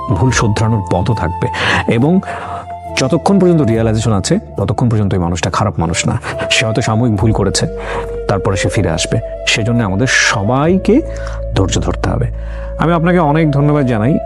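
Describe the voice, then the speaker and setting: A man's voice: lowish voice, lively intonation, a little fast. A man hosting a life-story interview, reflecting at length on the guest's story and drawing moral lessons, mixing English words into his talk. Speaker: host. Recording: background music under speech.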